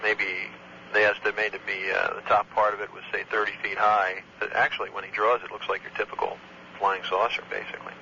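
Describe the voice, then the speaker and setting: Male air traffic controller, very forceful bright tone, halting factual delivery, lo-fi phone recording with faint hum. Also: on the low side, flat delivery. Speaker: air traffic controller, tower area supervisor. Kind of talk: phone report of an incident, conversational eyewitness account.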